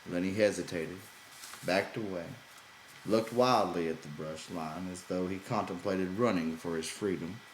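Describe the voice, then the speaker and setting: A man's voice: low-pitched, unhurried. A man reading a literary story aloud as scripted audiobook narration. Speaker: audiobook reader. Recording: roomy echo, faint background noise.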